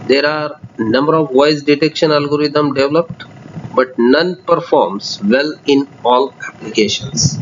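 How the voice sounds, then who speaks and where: A man's voice: fairly high pitch, a little slow. A man lecturing through a technical block diagram. He reads textbook-style sentences and then adds loose spoken remarks of his own. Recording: dull lo-fi recording, faint noise.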